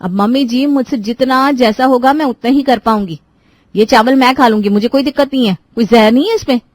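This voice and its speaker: A woman narrator plays a careless young daughter-in-law in a cartoon tale. She sounds defensive and full of excuses, pitched high, in a very dry recording. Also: a little fast, no room sound, lightly acted. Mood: defiant. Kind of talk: Hindi cartoon moral story dialogue.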